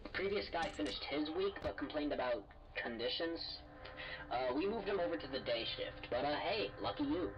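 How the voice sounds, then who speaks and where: A man's voice: fairly high pitch, animated, normal speed, music in the background, lightly acted. A man playing an affable but evasive company phone guide in a horror game, chatty, hesitant and falsely reassuring. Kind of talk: recorded orientation call, game acting. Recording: dull, noisy phone message sound.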